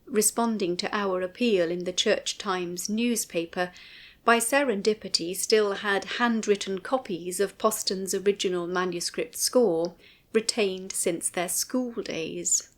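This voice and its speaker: Woman narrating a documentary commentary, mid-pitched voice, formal and measured, reading from a script. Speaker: narrator and writer of an audio commentary.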